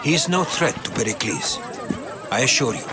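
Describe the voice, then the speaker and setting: An actor playing a learned, cautious Greek historian in a video game, speaking in a measured, articulate way. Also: male voice, mid-range voice, lively intonation, a crowd in the background, noisy background, close to an everyday voice. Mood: assured. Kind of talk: dramatic video game acting.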